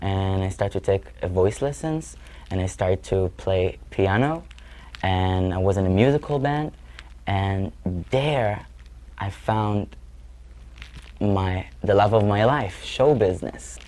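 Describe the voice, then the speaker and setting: A man's voice: low voice, slow pace, dark tone. A young man in his twenties from Israel with an Israeli accent, speaking earnestly as an interview guest and sometimes searching for words. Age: 20s. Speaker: guest musician. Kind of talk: interview answers.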